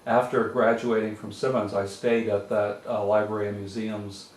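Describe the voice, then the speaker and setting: An older man from western Massachusetts, a native French speaker, answering interview questions in a measured, reflective way with many pauses. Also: fairly low pitch, level tone. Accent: mix of French Canadian and American. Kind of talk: oral history interview. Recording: roomy audio from a distance with faint hiss.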